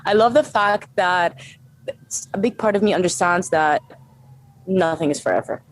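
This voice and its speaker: Lower-voiced Arabic-speaking woman coach. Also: normal speed. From Saudi Arabia. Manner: animated, laughs often, frequent restarts. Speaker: guest, strength and conditioning coach. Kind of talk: casual interview conversation.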